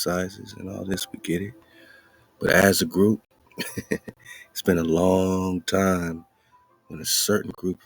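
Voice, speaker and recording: A man's voice: low voice, creaky, pushed and bright, breathy edge, low-quality recording. A man hosting an internet radio show, commenting loosely and preachily on an article he reads aloud and laughing between points. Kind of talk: casual commentary over a read-aloud article.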